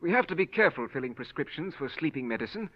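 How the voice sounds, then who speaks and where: A man's voice: on the high side, big pitch swings, fast. A man acting as a cautious, polite drugstore pharmacist in a 1940s radio drama, businesslike and a little hesitant. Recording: dull lo-fi old radio recording.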